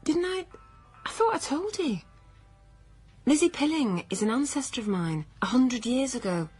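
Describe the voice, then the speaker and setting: A woman's voice: high voice, big pitch swings, moderate pace, darkish tone, husky, soft background music, heavily acted. An actress playing a troubled, stubborn pregnant career woman, earnest and defensive, in naturalistic radio drama. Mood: explaining, defensive.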